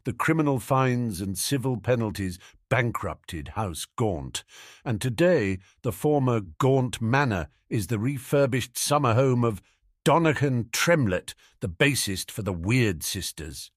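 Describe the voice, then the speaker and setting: A man voicing a cocky, mocking teenage boy wizard who talks quickly and showily. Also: mid-range voice, lively intonation, no echo, studio quality. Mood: gloating. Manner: sneering, quick, showy.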